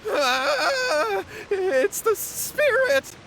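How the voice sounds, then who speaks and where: A man's voice: very high voice, big pitch swings, extremely slow, background noise, exaggerated voice. A man voicing a nervous, scheming, easily flustered young man in a video game. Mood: terrified. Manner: flustered, pleading.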